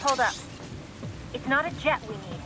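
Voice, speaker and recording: An actress playing a confident technical expert teammate in a video game cutscene. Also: female voice, high-pitched, animated, normal speed, over music. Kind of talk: dramatic video game acting.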